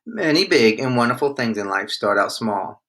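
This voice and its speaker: A man's voice: medium pitch, sing-song, moderate pace, pushed and bright. A man reading the part of a kindly young dad in a storybook, encouraging his children as he explains things. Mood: gentle, encouraging.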